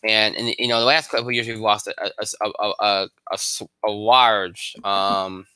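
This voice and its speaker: A man hosting a live sports show alone, congested and a bit hoarse, rambling with lots of fillers and restarts. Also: level tone, moderate pace, bright, forceful tone, no echo. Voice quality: high voice for a man.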